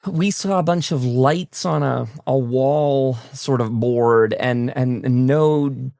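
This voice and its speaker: A man with a mid-pitched voice and a gravelly edge hosts a casual interview. He is enthusiastic and generous toward his guest, and the sound is close and dry. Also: slow pace, warm tone, no room sound. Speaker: talk show host interviewing a guest.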